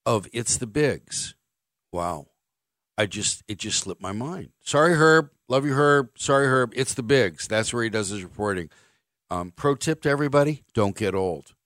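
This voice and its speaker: A man's voice: medium pitch, animated, normal speed, fairly bright tone, no room sound. A middle-aged man hosting sports talk radio from a studio mic, blunt and sarcastic as he reads listener texts and gives his opinions. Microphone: studio microphone.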